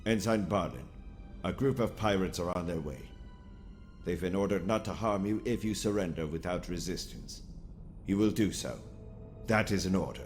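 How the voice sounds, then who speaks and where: An actor playing a calm, calculating alien naval lieutenant who speaks in a measured, formal, commanding way in an audio comic dub. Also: male voice, low voice, faint music behind, lightly acted.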